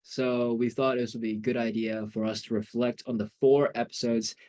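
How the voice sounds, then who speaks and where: A man's voice: medium pitch, level tone, moderate pace, a little mellow. A young man hosting a student podcast in an upbeat casual style, often saying "absolutely". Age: young adult. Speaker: podcast host.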